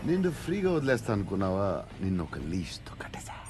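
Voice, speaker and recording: An actor playing a cocky, bossy bounty hunter in an animated series, playful and teasing. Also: male voice, fairly low pitch, fast talker, music in the background.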